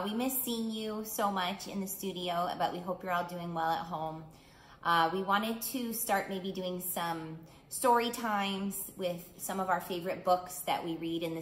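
A woman's voice: lowish voice, fairly bright tone. A middle-aged woman, a children's art teacher, speaking to young children as in a picture book storytime, with simple phrasing. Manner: simple, clear phrasing with questions to young listeners. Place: small indoor room. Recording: roomy indoor echo, clear background noise.

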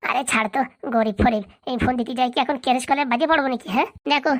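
A woman's voice: sits high, racing, pitched below the performer's own voice, close to an everyday voice. Woman doing a smug, dismissive cartoon elder sister. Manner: dismissive, brushes off worries.

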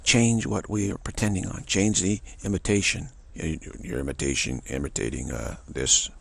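A man with a deep voice giving a rambling spiritual monologue, often repeating words and chuckling. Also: very animated, moderate pace, vocal fry, fairly bright tone, breathy edge.

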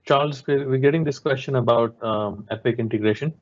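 A man's voice: medium pitch, fairly quick, no room sound. Guy moderating, reads out audience questions casually, close dry sound, kinda lo-fi. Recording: dull, dry call audio.

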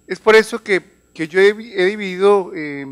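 Higher-voiced Colombian man presenting. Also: normal speed. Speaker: health organization advisor giving a talk. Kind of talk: semi-formal conference presentation. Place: large conference room. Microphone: handheld or table microphone.

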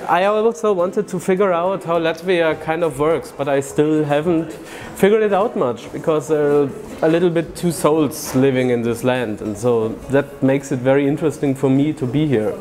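A man's voice: a little high, moderate pace, few pauses, darkish tone. Rambling young man. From Germany. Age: young adult. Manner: thoughtful, rambling, gesturing with his hands. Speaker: film student. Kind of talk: interview answers to camera. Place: indoor corridor.

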